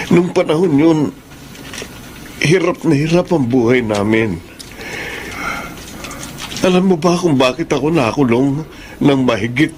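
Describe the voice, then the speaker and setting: An actor playing a remorseful, middle-aged ex-convict father in a radio drama, speaking with a Filipino accent in an earnest, halting, pleading way. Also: mid-range voice, a little hiss or hum, natural voice.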